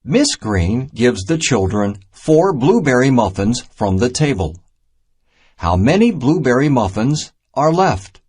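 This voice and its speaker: A man reading a children's math storybook aloud in a deliberate, simple teaching manner. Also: mid-range voice, very animated, darkish tone, slightly rough. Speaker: narrator of a children's math reader.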